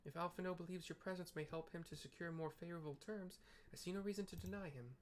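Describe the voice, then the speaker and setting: A man reads as a gracious, composed female leader in a fantasy video game. The voice is lifted in pitch and formally worded, over noticeable background noise. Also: lightly acted. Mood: agreeable. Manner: formal, measured wording.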